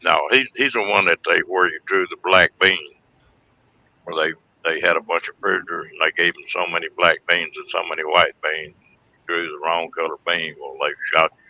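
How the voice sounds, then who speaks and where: A man's voice: low voice, steady tone, fairly quick, gravelly, sharp tone, a little hiss or hum, poor audio. A middle-aged man with a Texan accent, telling stories in a folksy way in a casual group chat. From Texas, United States.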